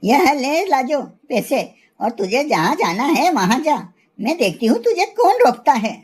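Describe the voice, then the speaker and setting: A woman's voice: high-pitched, big pitch swings, a little slow, darkish tone, breathy edge, heavily acted. A woman voicing an older, domineering mother-in-law bird in a cartoon story, with a melodramatic, scolding manner. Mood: angry, scolding. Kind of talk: animated moral story acting.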